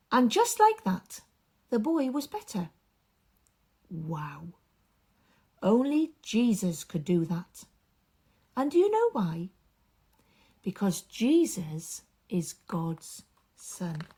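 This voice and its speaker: A woman reading and explaining a story for children, with gentle dramatic phrasing that often drops into a hush. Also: on the high side. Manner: dramatic, deliberate phrasing, often breathy and hushed.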